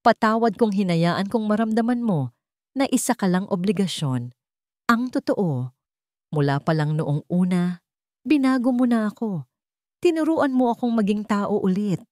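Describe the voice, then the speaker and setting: A woman's voice: medium pitch, lively intonation, a little slow, long pauses, dark tone, no room sound, studio quality, pitched above the performer's own voice, close to an everyday voice. A woman with a Filipino accent voicing a devoted young billionaire in a romantic audio drama, speaking sincerely and tenderly. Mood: remorseful, tender. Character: earnest, devoted young male billionaire in love.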